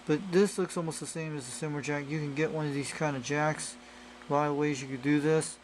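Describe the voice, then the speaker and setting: A man with a slightly high voice talks through a hands-on gadget demo. He explains casually and rambles, often circling back over the same point. It is an amateur camera recording with faint noise behind him. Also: fairly even intonation, a little fast. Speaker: radio hobbyist presenter. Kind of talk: casual hands-on product demonstration. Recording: noisy amateur camera audio.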